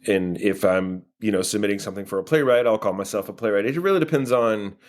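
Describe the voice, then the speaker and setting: American guy with a lowish voice, chatting in an interview, kinda halting, working it out as he talks. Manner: halting, thinking aloud. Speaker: guest playwright and filmmaker. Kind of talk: casual interview answers.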